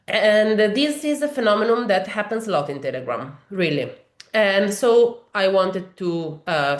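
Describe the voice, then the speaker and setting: Woman, Italian accent, webinar presenter; lowish voice, explains step by step with many pauses, echoey room. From Italy. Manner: explanatory, many fillers and restarts. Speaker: software trainer. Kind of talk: webinar demo walkthrough.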